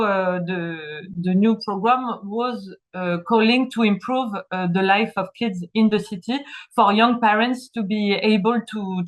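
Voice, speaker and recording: A woman's voice: medium pitch, poor audio. Hesitant woman presenting. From France. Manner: hesitant, many fillers. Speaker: city official presenting. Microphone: video call.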